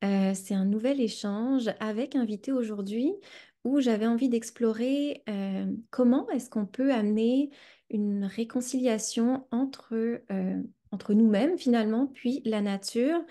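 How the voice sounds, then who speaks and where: Québécoise woman hosting a podcast, mellow and kinda high, lots of pauses and euhs, repeats words as she thinks. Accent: Québécois French. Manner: slow, searching, many hesitations and repeated words. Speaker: podcast host. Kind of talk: reflective podcast interview.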